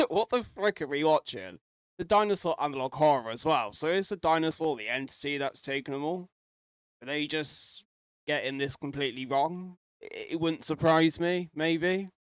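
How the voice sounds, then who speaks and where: A man's voice: on the high side, lively intonation, normal speed, clear, resonant tone, no echo. A man giving casual, rambling reaction commentary as a host, speculating aloud and mildly sweary.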